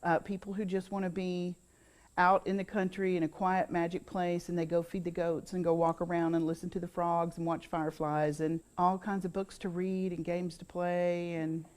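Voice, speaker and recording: Female, middle-aged, American. Slightly low voice, casual interviewee explaining her business. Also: little pitch movement, moderate pace, darkish tone. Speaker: business owner being interviewed.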